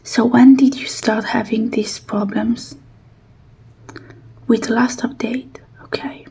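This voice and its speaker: A woman whispering softly and breathily in an ASMR roleplay as a technician, with a gentle, reassuring and unhurried manner. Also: on the high side, level tone, creaky, a little hiss or hum.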